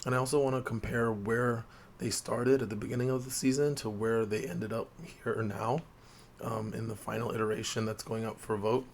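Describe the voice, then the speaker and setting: A man with a sore throat and a slightly hoarse voice, hosting a tabletop game video and explaining things quietly to viewers with lots of ums. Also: level tone, moderate pace, a little hiss or hum. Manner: quiet, low-key delivery with frequent fillers. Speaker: host of a tabletop game channel. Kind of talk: casual explainer talk to viewers. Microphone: desk mic with gain turned up.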